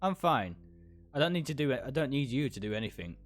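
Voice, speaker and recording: A man's voice: medium pitch, big pitch swings, quick pace, close to an everyday voice. A man plays a sly young nurse from a visual novel, sweet on the surface and menacing underneath. The delivery is lilting and condescending, with faint music behind it. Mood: forceful.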